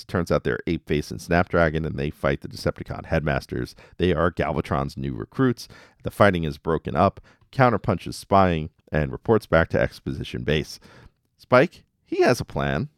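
A man's voice: deep voice, no echo. A man hosting a podcast, giving a casual episode recap with wry, dry asides. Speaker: podcast host.

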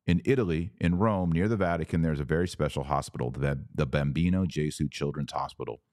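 A man's voice: extremely low pitch, a little fast, darkish tone, no room sound, studio quality. A man hosting a religious commentary podcast, reading text aloud and remarking on it in an emphatic, questioning manner.

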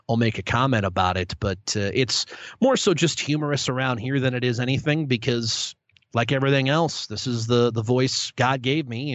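A man's voice: mid-range voice, fairly bright tone, no echo. A young man, a sports play-by-play broadcaster, answering interview questions in a good-humored, self-deprecating way with many restarts. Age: young adult. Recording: dull lo-fi sound.

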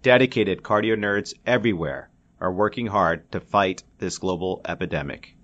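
A man giving a slow, weighty scripted narration for a podcast intro. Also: sits low, a bit bright. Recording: dull, lo-fi sound.

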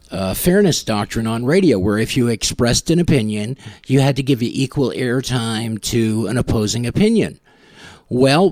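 A man speaks as a music show host in a medium-pitched voice, close and dry on the mic. He tells casual stories with a wry, self-deprecating manner. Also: darkish tone, dry recording. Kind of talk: casual music commentary and anecdotes.

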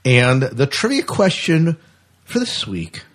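A man's voice: mid-range voice, huge pitch swings, slow, fairly bright tone. A man hosting a podcast in casual banter, chatty, jokey and enthusiastic, often repeating himself for emphasis. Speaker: podcast host.

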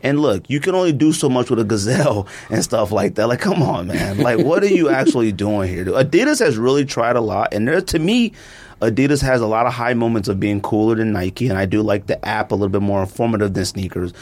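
Animated ranting male podcast host. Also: mid-range voice, a little fast. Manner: animated, ranting, laughs often. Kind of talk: casual chat.

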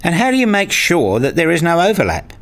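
A man's voice: a little high, huge pitch swings, fairly quick, a bit bright, full character voice. Wry, nerdy dad played by a man. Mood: curious. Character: nerdy, wry, playful husband and father. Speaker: narrator and lead actor in a radio play. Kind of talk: first-person audio drama narration and dialogue.

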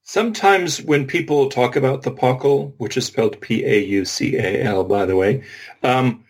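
Guy co-hosting a podcast, mid-pitched voice, explains things methodically, informal, throws in asides. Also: moderate pace, husky. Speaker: podcast co-host. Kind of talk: informal explanatory discussion of linguistics.

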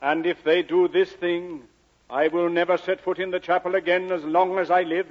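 A man acting a stern, proud miner father with gruff affection in an old radio drama. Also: high-pitched, level tone, normal speed. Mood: stern, resolute. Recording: dull old 1940s radio recording.

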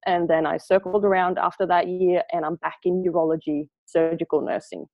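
A Swedish-born nurse in her thirties, her accent now a blend of Swedish and Australian. Her voice sits a little low and is recorded dry and close. She chats easily, laughs a lot, and restarts her sentences as she goes. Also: flat delivery, brisk, no echo, highs cut off. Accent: Swedish mixed with Australian. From Sweden. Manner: chatty, laughs often, many restarts. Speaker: guest nurse. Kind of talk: casual interview chat.

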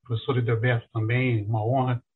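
An older Brazilian man from Rio de Janeiro with a husky voice, giving a courteous, conversational introduction as a guest presenter over a video call. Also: medium pitch, little pitch movement, brisk. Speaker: guest presenter, international mobility professional. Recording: muffled, narrow-band video call audio.

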